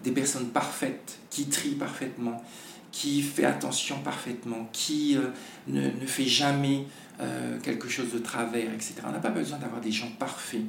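A hoarse man, a podcast guest, talking in a halting way with many restarts. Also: mid-range voice, steady tone, echoey room, slight background noise. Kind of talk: casual conversation.

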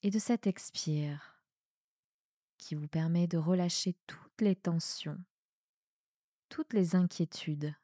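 A woman with a soft voice guiding a relaxation meditation in a calm, soothing manner with measured pauses. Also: lowish voice, dry recording, clean studio sound. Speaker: yoga nidra guide. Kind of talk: guided meditation, relaxation instruction.

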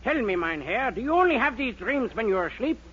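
A man's voice: very high-pitched, sing-song, a little fast. A man playing a bumbling, cheeky fake psychoanalyst in a 1940s radio comedy, with a mock German accent and muddled foreign phrases. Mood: breezy mock authority. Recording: noisy, muffled old 1940s radio recording.

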